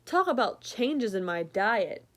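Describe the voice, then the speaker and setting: A woman's voice: mid-range voice, big pitch swings, measured pace, fairly bright tone. A woman voicing a bossy, chatty family dog in a picture book, cheeky and emphatic.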